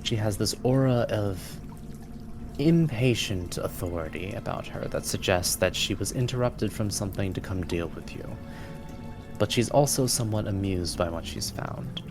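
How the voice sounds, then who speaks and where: A man narrating a tabletop fantasy game as game master, in a deliberate, descriptive storytelling manner. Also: fairly low pitch, lively intonation, music in the background.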